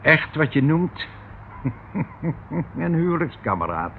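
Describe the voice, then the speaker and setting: Unctuous uncle, swooping theatrical delivery, tinny recording. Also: male voice, a little high, sing-song, slow pace, vocal fry, exaggerated voice. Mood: unctuous, evasive. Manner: theatrical, patronising, rambling, chuckling. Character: unctuous, evasive uncle captor, pompously cultured, falsely doting. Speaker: radio drama actor. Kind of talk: Dutch radio play acting. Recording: tinny, hissy old radio play recording.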